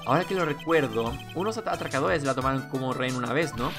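A man's voice: mid-range voice, animated, quick pace, music in the background, pitched below the performer's own voice, natural voice. A man voicing an earnest, reassuring young defense lawyer in a game. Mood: calm.

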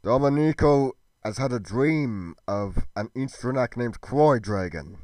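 Servant role in game roleplay: man acting dutiful informant, very slow and halting, formal diction, dry clean recording. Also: medium pitch, animated, darkish tone, no echo, close to an everyday voice. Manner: formal, halting, archaic speech. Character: deferential male informant reporting to his lord. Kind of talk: amateur game roleplay drama.

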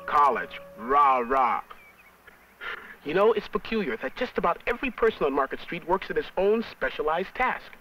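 Lively, high-voiced young American film narrator. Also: male voice, moderate pace, dull audio. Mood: folksy, upbeat. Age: young adult. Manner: folksy, chatty, addressing the viewer. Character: cheerful young college student guiding viewers round his street. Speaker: on-camera narrator. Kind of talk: scripted educational film narration. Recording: 1970s educational film soundtrack with music.

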